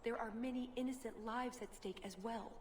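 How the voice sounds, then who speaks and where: An actress playing an earnest, determined agent in a fantasy video game, serious and controlled. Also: female voice, on the high side, fairly even intonation, fairly quick, a little hiss or hum, close to an everyday voice. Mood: earnest, urgent.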